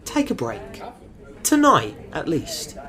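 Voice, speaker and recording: Man as a firm boss, slightly high, animated delivery. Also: slow, exaggerated voice. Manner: firm, authoritative, swears when angry. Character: stern male team leader, blunt and protective. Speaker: team leader.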